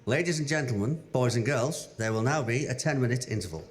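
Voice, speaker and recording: A man's voice: medium pitch, brisk, faint music behind. A man making a formal announcement to a theatre audience.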